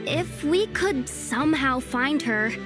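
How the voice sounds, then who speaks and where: A woman's voice: high voice, animated, takes it slowly, loud music behind the voice. An actress voicing a hopeful young woman ally in a game, thoughtful as she shares what she knows.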